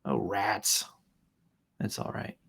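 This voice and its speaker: A man's voice: lowish voice, animated, slow pace, fairly bright tone, husky, whispered. A man hosting a show, casually reading posts aloud and improvising commentary with playful announcer flourishes and wry asides.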